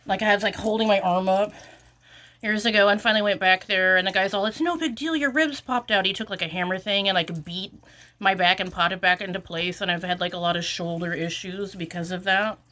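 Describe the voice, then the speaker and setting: A woman's voice: medium pitch, fairly even intonation, a little fast, pushed and bright. A sniffly woman rambling through an exasperated casual vlog rant, full of "like" fillers. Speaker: vlogger.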